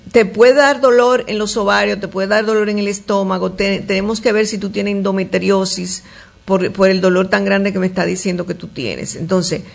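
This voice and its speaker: Gravelly-voiced woman doctor answering listeners. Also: medium pitch, steady tone, normal speed. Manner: reads questions aloud then answers warmly, with many fillers and restarts. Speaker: gynecologist answering listener questions. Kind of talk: call-in health advice show.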